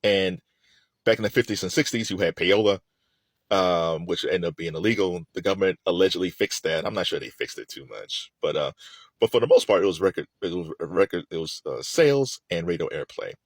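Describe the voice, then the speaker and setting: Low-voiced man with very animated intonation. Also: fairly quick, dry recording. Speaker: music commentator and host. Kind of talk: casual opinionated solo commentary.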